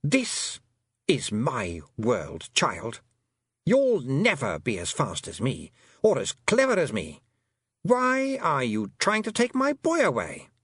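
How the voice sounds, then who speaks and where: A man voicing a haughty, cold fairy queen who talks in a silky, condescending, drawn-out way. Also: mid-range voice, huge pitch swings, fairly bright tone, husky, no room sound, studio quality, voice pushed up, heavily acted. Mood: mocking.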